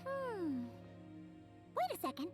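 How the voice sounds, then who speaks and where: A woman's voice: very high-pitched, big pitch swings, slow pace, soft background music, full character voice. A woman voicing a small, childlike, chatty video game companion. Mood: chirpy, wary. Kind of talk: video game character voice acting.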